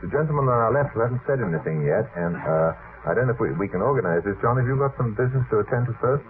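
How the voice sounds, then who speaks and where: A man speaking as a guest in a radio panel debate, emphatic and a little halting, with old-fashioned turns of phrase. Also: mid-range voice, animated. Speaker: guest researcher of unexplained sky sightings. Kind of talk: animated late-night radio panel debate. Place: radio studio. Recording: noisy, phone-like old 1950s radio recording.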